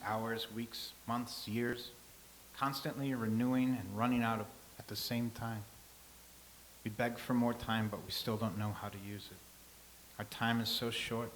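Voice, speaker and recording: A man's voice: mid-range voice, a bit bright, close to an everyday voice, poor audio. Man reading an ancient scribe: earnest, solemn, philosophical; medium voice, light hiss. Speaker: actor in a staged reading. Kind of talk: staged play reading.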